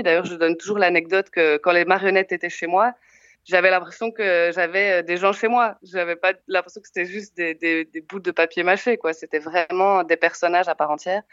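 Soft low Belgian woman, hesitant. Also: fairly even intonation, brisk, no room sound, highs cut off. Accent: Belgian French. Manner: hesitant, many fillers and restarts. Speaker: film director. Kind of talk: interview answers.

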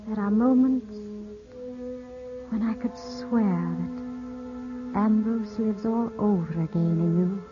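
An actress playing an elegant, composed widow, gently sorrowful and guarded, speaking with measured poise in 1950s radio drama style. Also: female voice, mid-range voice, over music, close to an everyday voice. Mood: wistful. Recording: dull, muffled 1950s radio broadcast.

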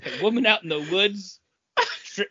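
A man's voice: high voice, lively intonation, moderate pace, bright, forceful tone, husky. A man co-hosting a podcast in casual, joking chat, sometimes acting out imagined lines. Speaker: podcast co-host. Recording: dull, lo-fi audio.

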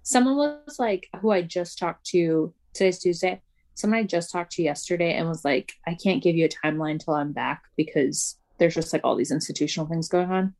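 A woman co-hosting a podcast in loose, rambling chat, her words tumbling out quickly. Her voice sits a little low, and she fills her sentences with "like" and breaks into laughter. She sounds relaxed and conversational. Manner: fast, chatty, laughs often, lots of "like". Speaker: podcast co-host. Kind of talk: casual chat.

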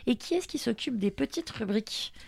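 A woman's voice: fairly high pitch, expressive, fairly quick, vocal fry, husky. A woman radio host, presenting and interviewing in a playful, wry way.

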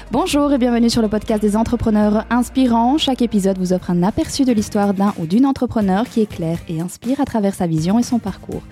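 Woman host with a mellow, polished read. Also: mid-range voice. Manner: smooth, read-aloud delivery. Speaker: podcast host. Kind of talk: scripted introduction and interview questions.

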